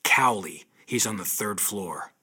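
An actor playing a wry, laconic, world-weary ex-convict detective in a hardboiled audio drama. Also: male voice, lowish voice, expressive, measured pace, pushed and bright, husky, heavily acted. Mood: earnest, low-key.

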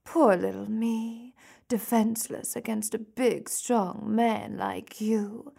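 An actress playing a seductive, cold-blooded femme fatale killer in a noir audio drama, with a sultry and scornful manner. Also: female voice, a little high, steady tone, takes it slowly, vocal fry, mellow, heavily acted. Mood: sultry, taunting.

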